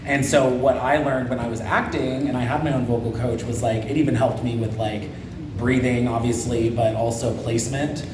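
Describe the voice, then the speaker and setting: A voice actor, a man, gives earnest advice at a convention panel. He is heard from a distance in a roomy space, with a steady noise bed behind him. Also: mid-range voice, moderate pace. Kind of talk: panel interview answer. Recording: echoey room, from afar, noisy.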